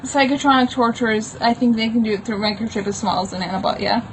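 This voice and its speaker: A woman's voice: a little high, fairly even intonation, quick pace, slight background noise, rough recording. A woman reading her own homemade comic aloud in a plain, unpolished way, with informal explanatory asides. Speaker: comic book author reading own work.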